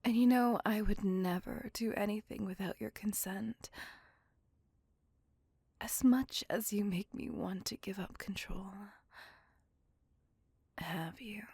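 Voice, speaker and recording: A woman playing a courteous, doting, possessive vampire in a hushed, breathy ASMR roleplay, speaking gently in formal, courtly phrases. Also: mid-range voice, dry recording, full character voice. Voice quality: breathy, hushed near-whisper.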